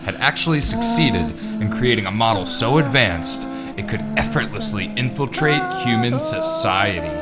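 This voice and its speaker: A man's voice: mid-range voice, huge pitch swings, moderate pace. A man acting as a melodramatic reporter, reading a news exposé in a theatrical, portentous, mock-serious way. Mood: ominous. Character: melodramatic male reporter narrating a sinister exposé. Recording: loud guitar music behind the voice.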